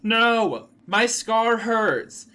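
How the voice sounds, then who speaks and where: A man's voice: high voice, expressive, very slow, bright, forceful tone, voice pushed up, full character voice. A man voicing a panicked teenage boy who wails about his scar. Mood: wailing. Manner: wailing, panicked.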